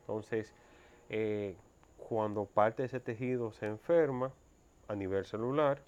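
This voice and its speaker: A man's voice: on the low side, slow pace, a little mellow. Dominican man, slightly muffled recording. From Dominican Republic. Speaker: interview guest. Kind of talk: explanatory conversation.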